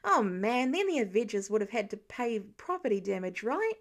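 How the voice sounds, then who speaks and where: A woman voicing an excitable, apologetic teenage superhero boy who rambles breathlessly in an audiobook. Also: medium pitch, moderate pace. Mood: excited, gushing. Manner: breathless run-on rambling with sound effects. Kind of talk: fan fiction audiobook dialogue.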